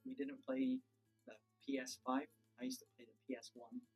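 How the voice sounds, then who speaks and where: Gravelly, husky British man. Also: extremely high pitch, very animated, moderate pace, a little mellow, soft background music. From United Kingdom. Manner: cheerful, easygoing, lots of ums. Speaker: teacher being interviewed. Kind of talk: casual interview answers.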